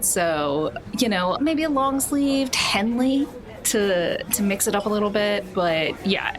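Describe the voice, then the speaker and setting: A woman playing a tabletop role-playing game, speaking in an informal, conversational way. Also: mid-range voice. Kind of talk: casual role-play chat.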